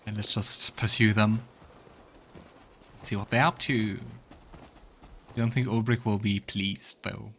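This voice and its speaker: A man's voice: lowish voice, fairly even intonation, measured pace, creaky, long pauses, fairly bright tone, slight background noise. A man hosting a let's play, commenting casually on the game, muttering reactions and reading game text aloud. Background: faint game music.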